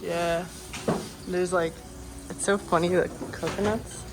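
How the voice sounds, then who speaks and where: A woman's voice: lowish voice, level tone, slow, music in the background, rough recording. A woman chatting casually for a vlog while out on a scooter trip. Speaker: vlogger.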